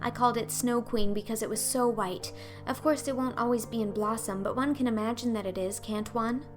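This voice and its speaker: An earnest, talkative young orphan girl from a storybook, played by a woman, dreamy and wistful. Her voice sits higher, and she pleads with effusive, melodramatic feeling, over faint music. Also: steady tone, fairly quick, lightly acted. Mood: eager, pleading.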